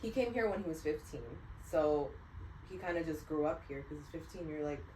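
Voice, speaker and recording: A young woman co-hosting a podcast, chatting in a relaxed, rambling way with many pauses. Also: low voice. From United States. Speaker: podcast co-host. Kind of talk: casual chat. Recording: roomy echo, mic at a distance, faint hiss.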